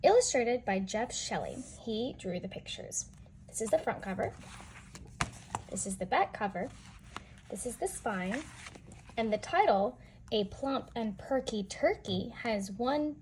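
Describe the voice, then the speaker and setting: A woman with a somewhat high voice reads a children's picture book aloud. She speaks as if to small listeners, adding explanations and questions. There is audible noise in the recording. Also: lively intonation, slow pace, darkish tone, low-quality recording. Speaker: children's read-aloud storyteller.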